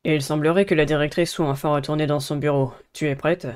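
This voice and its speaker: A man voicing a polite, earnest teenage schoolboy in a dating game, measured. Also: on the high side, steady tone, clean tone, close to an everyday voice. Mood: calm, earnest.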